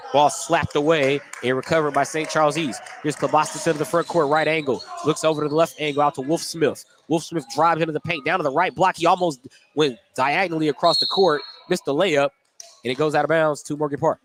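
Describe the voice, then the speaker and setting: A man's voice: a little high, steady tone, fairly quick, a bit bright. A man doing live radio play-by-play of a basketball game, describing the action in short running phrases on a headset mic. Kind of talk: live radio sports commentary. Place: indoor basketball gym. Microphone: headset microphone. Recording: gym noise behind headset commentary.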